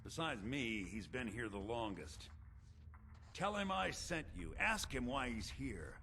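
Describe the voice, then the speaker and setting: Intense embittered rebel prisoner, sharp-edged gravel. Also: male voice, mid-range voice, sing-song, a little hiss or hum, full character voice. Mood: grave, bitter. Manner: grave, deliberate, proud. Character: embittered male rebel leader, imprisoned and plotting vengeance. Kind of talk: fantasy video game dialogue acting.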